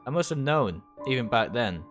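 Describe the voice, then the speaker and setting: A man's voice: mid-range voice, sing-song, moderate pace, a bit bright, faint music behind. A man voicing a troubled, guarded young amnesiac in a visual novel, speaking plainly and hesitantly. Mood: resigned, hesitant.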